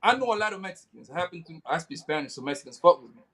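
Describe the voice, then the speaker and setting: A man's voice: a little high, animated, very fast, pushed and bright. A man giving casual commentary as a panelist, emphatic and slangy, often repeating himself.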